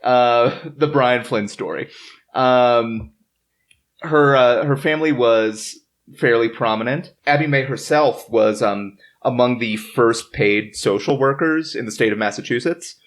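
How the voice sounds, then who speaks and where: A man's voice: mid-range voice, unhurried. A man hosting a podcast, chatting casually as he reads from notes, with wry, joking asides. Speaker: podcast host. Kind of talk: casual informative chat, reading from notes. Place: in-person group around a table.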